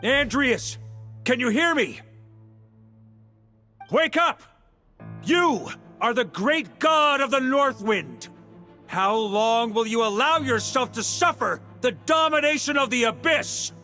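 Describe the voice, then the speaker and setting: An actor playing a confident, defiant seasoned knight in a video game, assured and theatrical. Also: male voice, very high-pitched, expressive, takes it slowly, long pauses, bright, forceful tone, heavily acted. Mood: impassioned. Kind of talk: scripted video game acting. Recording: voice over game music.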